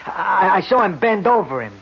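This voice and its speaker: An actor playing a plain-spoken working-class street eyewitness in a radio drama, talking in a halting, rambling, colloquial way. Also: male voice, high-pitched, sing-song, gravelly, husky, heavily acted. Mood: flustered, earnest. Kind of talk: 1970s radio drama acting. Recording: dull old radio broadcast recording.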